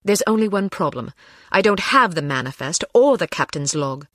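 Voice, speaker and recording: A woman's voice: on the low side, lively intonation, normal speed, fairly bright tone, no room sound. A woman playing a tough, dry-witted young spy in a game, tart and sardonic with a British accent. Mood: indignant.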